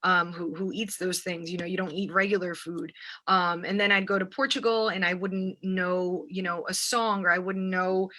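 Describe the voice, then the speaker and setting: A woman's voice: lowish voice, level tone, normal speed, sharp tone. An American woman, an artist, giving reflective interview answers in searching phrases and often checking in with the listener. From United States, New Jersey. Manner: hesitant, searching phrasing, often seeking agreement with "right". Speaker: visual artist and writer, interview guest.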